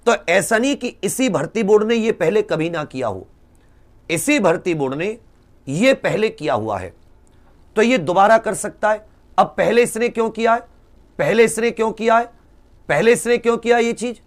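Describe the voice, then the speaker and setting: A man's voice: high voice, animated, moderate pace. A man, a coaching teacher addressing students directly, emphatic and exhorting, with rhetorical questions and repeated phrases.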